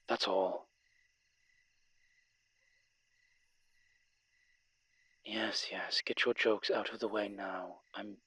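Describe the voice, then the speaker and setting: Low, husky demon voiced by a man. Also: sharp tone, no room sound, exaggerated voice. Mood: flustered, reluctant. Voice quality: husky, breathy. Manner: hesitant, dry, halting phrasing. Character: weary, guarded male winged demon police consultant. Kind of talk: intimate roleplay audio drama.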